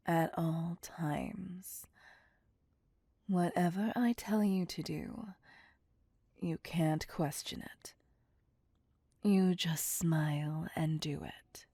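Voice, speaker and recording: A woman's voice: fairly low pitch, warm tone, no room sound, studio quality, heavily acted. A woman playing a seductive, possessive female vampire in a whispered ASMR roleplay, with a teasing, domineering manner. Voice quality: breathy, whispery. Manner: slow, teasing, domineering, purring.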